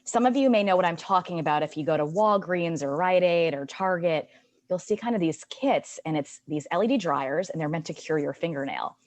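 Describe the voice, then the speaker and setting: A woman's voice: fairly low pitch, brisk. A woman in her thirties speaking on a webinar panel as a founder, telling her career story in an earnest way with long connected sentences. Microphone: video call.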